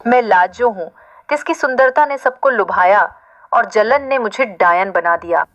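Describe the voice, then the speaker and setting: A woman's voice: medium pitch, lively intonation, a little slow, a bit bright. A woman voicing a vengeful, menacing female witch spirit in a dramatic horror story. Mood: brooding, menacing. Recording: dull, lo-fi audio.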